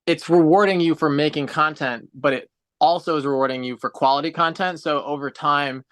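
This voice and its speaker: A man's voice: fairly high pitch, steady tone, brisk, fairly bright tone, dry recording. A man in his twenties on a video call, chatting casually and explaining things at length in an earnest way. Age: 20s. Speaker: guest on a livestream.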